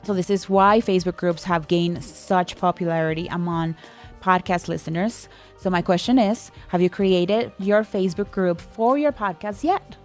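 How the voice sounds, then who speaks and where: A woman's voice: lowish voice, moderate pace, a little mellow, soft background music. A woman co-hosting a podcast, presenting news items in a casual, chatty style. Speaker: podcast co-host.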